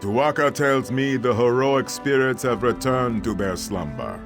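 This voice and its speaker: A man playing a wise, devout mentor in a fantasy game, speaking in an expressive, reverent and measured way. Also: mid-range voice, over music. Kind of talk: scripted fantasy game acting.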